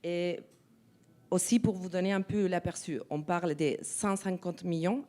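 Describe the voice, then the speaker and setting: Woman, non-native French accent, panel speaker, low warm voice, halting delivery with many restarts. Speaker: NGO representative on a panel. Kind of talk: spontaneous panel talk. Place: conference room.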